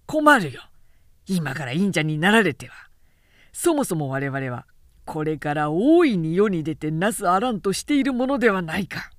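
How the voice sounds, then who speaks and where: A woman voicing a blunt, hot-tempered male warrior who grumbles in rough speech, in an audiobook novel. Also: fairly low pitch, very animated, normal speed, no echo, studio quality. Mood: impatient urging. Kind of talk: literary audiobook dialogue.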